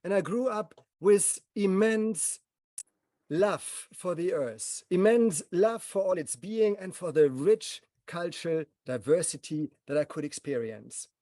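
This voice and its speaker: Mellow man, slow and solemn. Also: high voice, expressive, no echo, clean studio sound. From Germany. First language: German. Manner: measured, speaks in short solemn phrases. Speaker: speaker at a gathering. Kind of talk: reflective personal talk.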